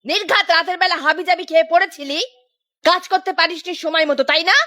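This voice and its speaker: A woman playing a bossy, sharp-tongued village landlady in a cartoon, scolding and giving orders. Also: very high voice, steady tone, fairly quick. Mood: angry, scornful. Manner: scolding, commanding. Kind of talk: Bengali cartoon dubbing.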